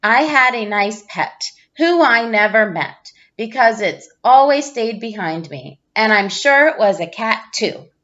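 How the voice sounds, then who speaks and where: A woman's voice: a little high, expressive, unhurried, few pauses, a bit bright, lo-fi sound. A woman voicing an eager little schoolgirl in a storybook, with a childlike manner. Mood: reciting. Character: eager little schoolgirl who copies her friend.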